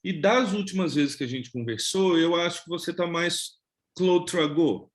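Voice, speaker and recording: A man hosting a casual interview, asking relaxed questions and often repeating words as he goes. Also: on the high side, normal speed. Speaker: interviewer, channel host.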